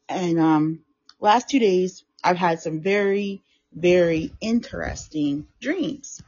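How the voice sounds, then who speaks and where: A woman hosting her own talk show, speaking casually and rambling, with a hoarse, froggy throat. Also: on the low side, a little mellow, muffled recording. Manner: chatty, rambling, repeats and restarts phrases. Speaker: podcast host. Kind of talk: casual solo talk on mindset and manifestation.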